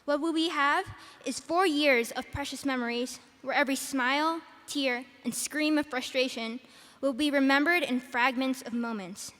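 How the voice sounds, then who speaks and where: A teenage girl with a quiet voice, giving a prepared graduation speech as salutatorian from a podium microphone. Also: sits high, moderate pace, few pauses. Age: teens. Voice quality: soft-spoken, quiet voice. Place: large arena. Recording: arena with long reverb.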